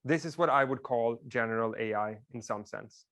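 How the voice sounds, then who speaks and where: A man's voice: medium pitch, moderate pace, fairly bright tone. A man whose first language is Swedish, giving a casual classroom lecture and explaining things with frequent "like" fillers.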